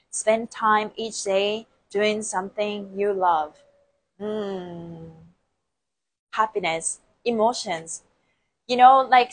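A woman's voice: on the high side, fairly bright tone, poor audio. A woman in her forties from Japan with a Japanese accent, chatting casually to viewers on a livestream, often laughing and repeating phrases, recorded on a lapel mic indoors. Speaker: dance and movement coach. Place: indoor room with plants behind. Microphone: lapel mic clipped to shirt.

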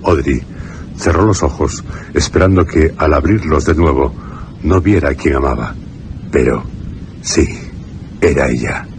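A man's voice: rumbling low, unhurried, creaky, long pauses, slight background noise. A man narrating a suspense and horror radio drama in a scripted, dramatic style. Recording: radio drama with loud music behind. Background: suspense music.

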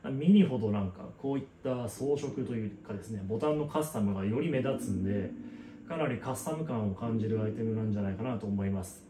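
A man's voice: on the low side, fast talker, background noise. A man in his thirties giving a casual, conversational product walkthrough as a craftsman, explaining details as he goes. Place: small indoor room with product shelf. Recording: roomy echo, guitar music behind. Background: guitar music.

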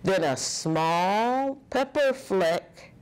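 A middle-aged woman reading a picture book to children in a deliberate, dramatic, expressive style. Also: mid-range voice, smooth. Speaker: children's story reader. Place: indoor office room. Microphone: lapel.

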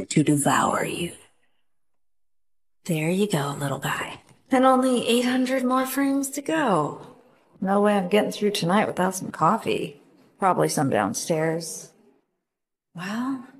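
A woman playing an anxious but plucky, kind-hearted young animator in a horror video game, expressive and often talking to herself. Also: on the low side, in a large hall. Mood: grave, ominous, then wry, muttering. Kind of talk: dramatic horror game voice acting.

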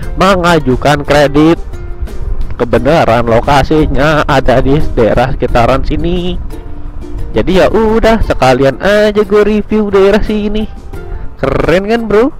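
A man with a Jakarta-area accent talks to his viewers from a moving motorbike. His voice sits a little high for a man, and his delivery is casual and full of slangy exclamations. Some music plays behind him. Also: poor audio. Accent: Jakarta-area Indonesian. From Indonesia, Tangerang near Jakarta. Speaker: motovlogger. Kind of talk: casual chat to viewers while riding. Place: outdoors on a moving motorbike on a country road.

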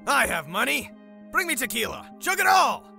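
A male actor as a sleazy conman in a manga cartoon, cocky and loud. The voice is high and swings wildly, with a gravelly creak. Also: very animated, normal speed, soft background music, full character voice. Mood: cocky, boastful. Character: brash, sleazy male marriage swindler in his late thirties. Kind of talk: manga dub character acting.

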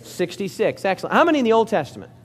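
A male pastor preaching to a congregation in a church hall. His voice sits high and swings widely in pitch. He keeps turning to the room with questions and jokes. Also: racing, slightly rough. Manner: lively, interactive preaching with audience questions and jokes. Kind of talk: sermon.

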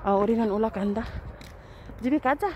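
A woman's voice: fairly high pitch, fairly quick, darkish tone. A woman with a Filipino accent chatting casually as a vlogger, recorded outdoors on a handheld phone. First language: Tagalog. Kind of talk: casual walking vlog chat. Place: outdoors at a riverside camping area. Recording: outdoor handheld recording with clear background noise.

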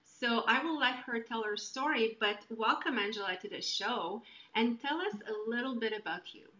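A middle-aged woman co-hosts a podcast in casual conversation. Her voice is bright and forceful, and the sound is roomy and slightly dull, as on a video call. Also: fairly high pitch, normal speed. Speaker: podcast co-host. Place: indoor room on a video call.

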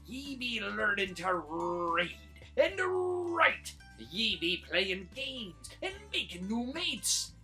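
A gruff, blustering pirate who drives a school bus in a children's storybook. His pirate talk is full of drawn-out growls and swings widely in pitch. He takes his time, and music plays behind him. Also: very animated, sharp tone, exaggerated voice. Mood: hearty.

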